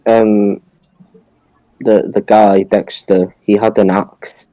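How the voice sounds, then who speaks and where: A man's voice: on the low side, level tone, takes it slowly, warm tone, dry recording. A man co-hosting a podcast in casual chat, on a dull, dry lo-fi microphone. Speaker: podcast co-host.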